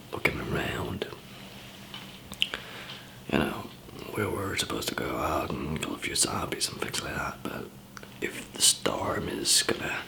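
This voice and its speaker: A man doing an improvised ASMR roleplay as a weary, easygoing survivor, in a breathy, hesitant, rambling murmur. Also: extremely low pitch, low-quality recording. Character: weary, easygoing male zombie apocalypse survivor.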